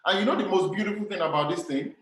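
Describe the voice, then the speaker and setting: A man's voice: high voice, fast, fairly bright tone, reverberant, a little hiss or hum. A Nigerian man with a Nigerian accent giving an earnest, emphatic motivational talk to young people about communication, repeating his key phrases. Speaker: speaker on communication skills.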